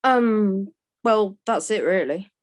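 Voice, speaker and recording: A woman's voice: medium pitch, animated, takes it slowly. A woman playing a bumbling, forgetful wolf puppet, hesitant and muddled, in a scripted comedy. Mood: flustered.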